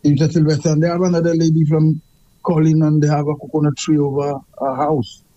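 A man's voice: a little high, flat delivery, fairly quick, dark tone, no room sound, lo-fi sound. A man with a Saint Lucian accent calling in to a radio show, talking emphatically and repeating himself as he complains. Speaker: radio caller. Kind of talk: call-in radio chat.

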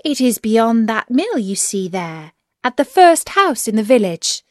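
A woman's voice: a little high, big pitch swings, measured pace, dry recording, clean studio sound. A woman voicing an innocent, trusting little girl in a fairy tale, earnest and wide-eyed with a sing-song delivery. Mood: cheerful, trusting.

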